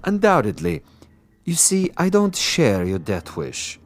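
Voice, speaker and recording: An actor playing a suave, boastful assassin commissar in a radio drama, with pompous, precise and silkily polite diction. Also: male voice, fairly high pitch, wildly swooping pitch, measured pace. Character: suave, boastful male assassin commissar, coolly menacing connoisseur.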